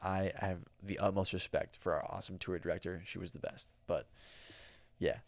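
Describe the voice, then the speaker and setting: A man's voice: sits low, fairly even intonation, normal speed, dry recording. An American man hosting a solo podcast, telling a travel story in a rambling, enthusiastic way, with many fillers and restarts. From United States. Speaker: podcast host. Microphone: studio microphone.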